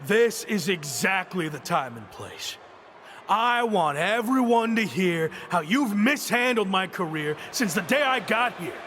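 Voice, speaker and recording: An actor playing a defiant, aggrieved young pro wrestler in a video game, speaking in an emphatic, confrontational promo style. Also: male voice, sits high, expressive, unhurried, a bit bright, slight background noise. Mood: defiant, angry.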